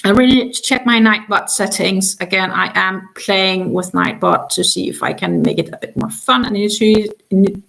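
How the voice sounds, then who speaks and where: A woman's voice: medium pitch, steady tone, moderate pace, gravelly, hardly any pauses, a bit bright, poor audio. Chatty woman. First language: Danish. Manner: chatty, many ums, trails off and restarts. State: tired after a long day. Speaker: crochet livestream host. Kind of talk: casual livestream chat.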